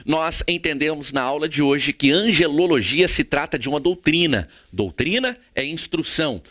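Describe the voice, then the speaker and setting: A Brazilian man giving a prepared theology lecture in a formal, didactic way with measured phrasing. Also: fairly high pitch, fairly bright tone. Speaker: theology teacher.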